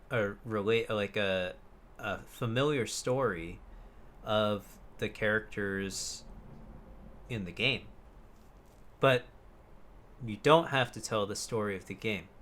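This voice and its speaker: A man co-hosting a casual chat podcast, blunt and quick to swear with the exasperation of a fan. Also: mid-range voice, fairly bright tone. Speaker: podcast co-host. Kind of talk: casual chat about films and games.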